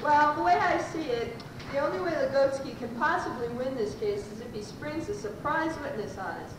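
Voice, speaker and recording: A woman's voice: high voice, animated, moderate pace. A woman playing a confident, formal defence lawyer in an amateur radio comedy. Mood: assured. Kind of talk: amateur old-time radio comedy acting. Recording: very echoey, distant, noisy room recording.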